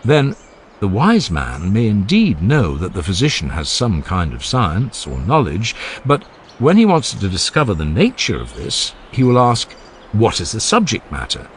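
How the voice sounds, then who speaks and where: A man reads a dramatized classical dialogue in a deep voice with very animated intonation. His delivery is formal, measured and emphatic. Faint ambient noise sits behind him. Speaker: audiobook narrator. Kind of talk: dramatized scripted reading of a classical philosophical dialogue.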